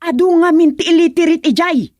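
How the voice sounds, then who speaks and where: An actress playing an excitable, gossipy village woman in an Ilocano radio comedy, whining and exclaiming as she complains. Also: very high-pitched, a little fast, no echo. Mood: indignant. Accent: Filipino, Ilocano.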